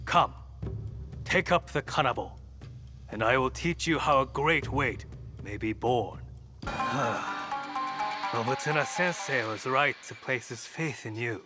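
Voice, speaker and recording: An actor playing a righteous, steadfast warrior monk mentor in a video game, speaking in a deliberate, formal, philosophical way. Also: male voice, mid-range voice, expressive, music in the background. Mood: calm, instructive. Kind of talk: dramatic video game acting.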